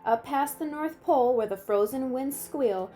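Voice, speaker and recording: Storybook boy dreamer played by a woman: boastful, eager, singsong rhymes, mellow and slightly high. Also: normal speed, soft background music, close to an everyday voice.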